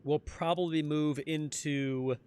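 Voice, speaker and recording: Slightly hoarse male streamer. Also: fairly high pitch, steady tone, slow pace, darkish tone. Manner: chatty, answers chat, repeats phrases. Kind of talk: casual live-stream chat while gaming.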